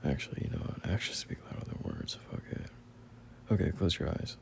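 A young man playing a bashful, teasing friend turned boyfriend in an intimate roleplay audio, speaking in halting, murmured slang. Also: a bass voice, huge pitch swings, creaky, a little mellow, slight background noise, heavily acted. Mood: awkward, sincere.

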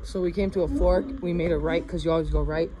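A speaker with a Minnesota accent giving chatty, nervously excited running commentary while crawling through a narrow cave, filmed on a handheld phone. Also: mid-range voice, quick pace. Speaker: cave explorer filming. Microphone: handheld phone camera. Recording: muffled phone audio, loud noise.

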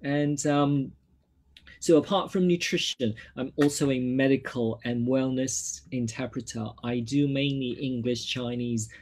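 A man's voice: medium pitch, fairly even intonation, unhurried, a little mellow. Hesitant Hong Kong man introducing himself. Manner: hesitant, many ums and restarts. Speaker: nutritionist presenter. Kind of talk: self-introduction at a product launch.

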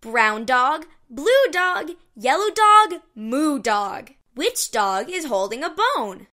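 A woman reads a children's read-along book in a high, very lively voice. She takes her time over short, simple phrases. Her delivery is encouraging and exclamatory, made for young listeners. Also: takes it slowly. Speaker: storybook narrator.

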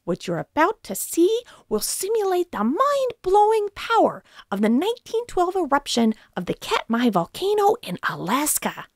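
A woman's voice: extremely high pitch, huge pitch swings, moderate pace, few pauses, no echo, clean studio sound, exaggerated voice. A woman playing an eccentric, reckless science teacher in a sitcom, theatrical and exaggerated. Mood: cheery, showy. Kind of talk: sitcom acting.

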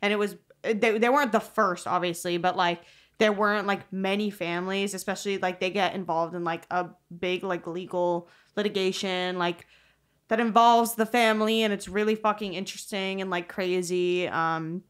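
A woman's voice: medium pitch, steady tone, normal speed. A young woman co-hosting a podcast in a small room, chatting casually with lots of fillers and swearing, into a desk microphone. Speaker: podcast co-host. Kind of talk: casual chat. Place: small room, seated on chairs. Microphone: studio mic on desk stand.

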